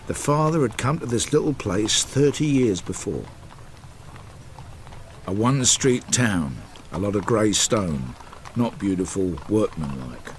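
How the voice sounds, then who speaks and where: An actor playing a reflective Victorian detective, narrating a radio drama in clipped, understated phrases. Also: male voice, fairly low pitch, expressive, a little slow, a little hiss or hum. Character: reflective Victorian detective recalling an old case.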